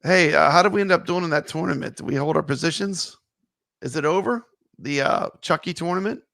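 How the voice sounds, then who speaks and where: A man co-hosting a casual live stream, chatting with viewers; his voice is still gravelly from being sick. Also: fairly high pitch, a little fast, dry recording, clean studio sound. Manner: relaxed, chatty, greets viewers. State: gravelly, voice not fully recovered.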